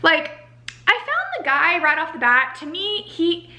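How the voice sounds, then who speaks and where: A young American woman giving a casual, chatty book review in an animated, exasperated ranting manner, saying "like" a lot. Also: extremely high pitch, measured pace. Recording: roomy home echo.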